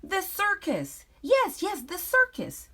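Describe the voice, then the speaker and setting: A woman's voice: high voice, very animated, takes it slowly, a bit bright, voice pushed up, full character voice. A woman voicing a greedy, impatient old witch who screeches and cackles. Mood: excited.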